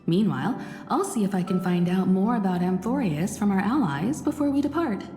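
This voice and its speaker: An actress voicing a composed mature woman leading a crew, speaking calmly and evenly. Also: medium pitch, a little fast, close to an everyday voice. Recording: echoey reverb with game music.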